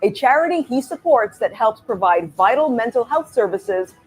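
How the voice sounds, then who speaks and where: A woman gives an upbeat promotional trailer voice-over in a bright, selling tone. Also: on the high side, expressive, normal speed, soft background music, low-quality recording. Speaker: trailer narrator.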